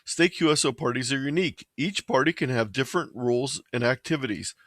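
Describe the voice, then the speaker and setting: A man narrating a scripted slideshow presentation in a measured, slightly halting way, stumbling over the odd word. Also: mid-range voice, a bit bright, no echo, clean studio sound. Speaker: presenter of an amateur radio slideshow.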